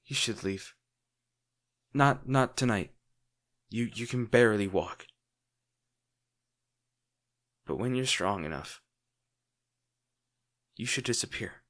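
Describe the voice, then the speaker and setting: A man playing a lovesick, protective villain in an intimate, brooding audio roleplay, speaking earnestly with dramatic pauses. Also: on the low side, fairly bright tone. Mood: protective, pained. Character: lovesick male villain, brooding, protective, torn over wounded hero.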